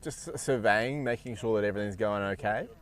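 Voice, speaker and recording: A man commentating a cricket match live, chatty and quick to laugh. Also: lowish voice. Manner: chatty, laughs easily, many restarts.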